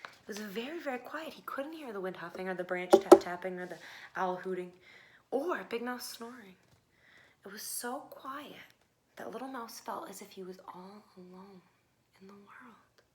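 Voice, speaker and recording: A woman narrating a children's picture book expressively, with dramatic pauses and hushed phrases. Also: mid-range voice. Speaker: storybook reader. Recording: faint background noise.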